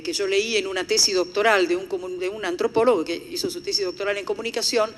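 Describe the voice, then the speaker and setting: An older woman from Italy with an Italian accent, giving a formal lecture to a conference audience. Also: medium pitch. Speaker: conference speaker. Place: conference hall. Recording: echoey hall.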